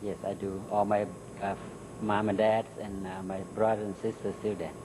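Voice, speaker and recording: A middle-aged man with a Thai accent, Thai his first language, answering interview questions in halting English with many fillers. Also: lowish voice, level tone, noisy background. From Thailand. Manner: halting, many "uh" and "you know" fillers, laughs between answers. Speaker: interview guest. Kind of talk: recorded interview.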